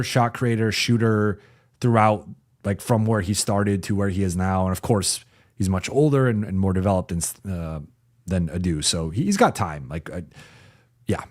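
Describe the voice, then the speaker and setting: A man with a fairly low voice that gets a bit raspy, hosting a basketball stream from a home mic. He talks loosely and conversationally, restarting sentences as he thinks and reading out viewer comments between points. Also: unhurried, a little mellow. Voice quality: raspy when talking for a while. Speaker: sports reporter and channel host. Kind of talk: casual live-chat basketball analysis.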